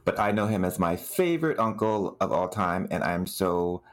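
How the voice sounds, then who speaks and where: A woman's voice: rumbling low, expressive, normal speed. A woman co-hosting a podcast, chatting casually and introducing guests. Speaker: podcast co-host.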